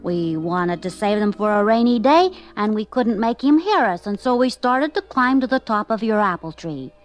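A woman's voice: medium pitch, animated, moderate pace, soft background music, close to an everyday voice. A woman voicing an innocent, eager little boy pig in a children's story, earnest and emphatic. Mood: earnest explaining.

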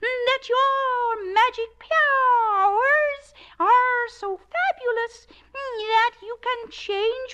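A woman voicing a wily, flattering male cat in a storybook, with mewing, theatrical, courtly speech. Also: very high-pitched, dull audio, pitched above the performer's own voice, heavily acted. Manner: theatrical, drawn-out, mewing delivery. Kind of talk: storybook character voice over music.

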